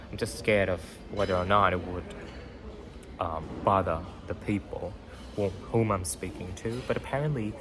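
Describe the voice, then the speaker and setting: A young man with a very low voice talks loosely through a motivational story, his first language Vietnamese. He rambles with fillers and keeps checking in with "right?". Clear music plays behind him the whole time. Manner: rambling, many fillers and tag questions. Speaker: podcast host. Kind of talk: casual motivational monologue. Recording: background music under voice.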